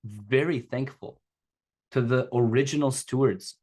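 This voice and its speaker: Man, middle pitch, casual earnest talk, video call. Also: unhurried, a little mellow. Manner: casual, earnest, conversational with a few laughs. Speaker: secondary teacher and speaker. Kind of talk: personal talk to an audience. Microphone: remote video call.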